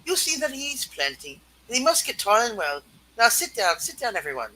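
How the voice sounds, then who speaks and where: Woman as fussing working-class mother, bright high voice, theatrical, exclaiming, radio play reading. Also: very animated, brisk, slight background noise, poor audio. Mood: bossy, bustling.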